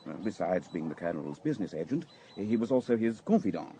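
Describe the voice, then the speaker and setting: Radio drama role: knowing, cordial male inspector, dark mellow tone, faint noise behind. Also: low voice, sing-song, fast. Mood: knowing, discreet. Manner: courteous, hesitant, knowing. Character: cordial, worldly male chief inspector sharing gossip discreetly. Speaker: police inspector.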